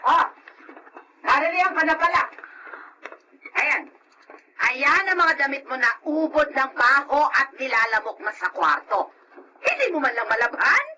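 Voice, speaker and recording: A woman's voice: high-pitched, very animated, a little slow, pauses often, bright, forceful tone, slight background noise, full character voice, poor audio. A woman playing a harsh, self-righteous old grandmother in a radio drama, nagging and berating. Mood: contemptuous.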